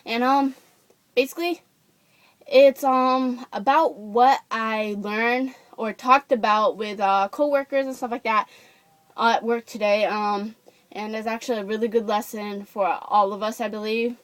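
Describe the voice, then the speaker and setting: A woman's voice: on the high side, fairly even intonation, a little slow, no room sound. A young woman from Japan, chatting casually into a camera and ranting, full of fillers and restarts. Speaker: vlogger.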